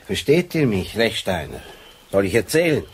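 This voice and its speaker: An actor playing a seasoned, shrewd Swiss police sergeant in a radio play, authoritative and plain-spoken. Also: male voice, lowish voice, very animated, moderate pace, slight background noise. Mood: explaining.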